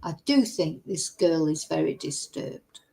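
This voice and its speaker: A woman's voice: low voice, lively intonation, gravelly, mellow. A woman hosting a casual true crime chat, reading out news reports and adding her own asides. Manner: slow, chatty, reads news text aloud with asides. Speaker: true crime channel host. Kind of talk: casual commentary over a live news stream.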